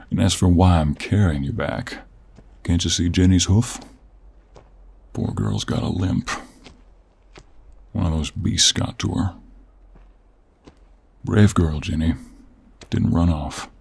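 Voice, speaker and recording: A man playing a gruff, teasing cowboy monster hunter with a Western American drawl and a gravelly, husky voice, in an intimate audio roleplay. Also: low-pitched, no echo, full character voice.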